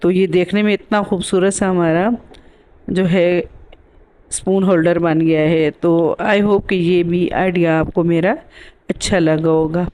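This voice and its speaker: A woman's voice: fairly low pitch, fairly even intonation, measured pace, mellow. A woman with a sore throat giving a casual voice-over for a DIY craft tutorial as the host.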